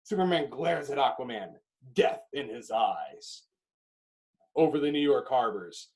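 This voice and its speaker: A man reading screenplay directions as dramatic narration, in emphatic clipped phrases with pauses. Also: mid-range voice, expressive, darkish tone.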